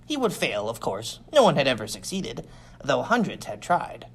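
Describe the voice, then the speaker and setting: A man's voice: mid-range voice, huge pitch swings, moderate pace, gravelly. A man reading a first-person science fiction adventure story as scripted audiobook narration. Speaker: audiobook reader.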